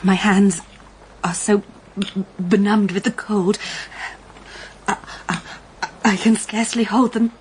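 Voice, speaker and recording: A woman's voice: medium pitch, fairly even intonation, slow pace, long pauses, slight background noise, close to an everyday voice, poor audio. A woman playing a timid, anxious young governess in a period audio drama, with an English accent and a hesitant, stammering delivery. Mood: shivering unease.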